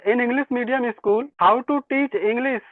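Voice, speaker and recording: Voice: medium pitch, no room sound. Hesitant Hindi speaker on tinny phone. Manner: hesitant, halting English, constantly answers "yes, ma'am". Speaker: English learner, caller. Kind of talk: beginner conversation practice.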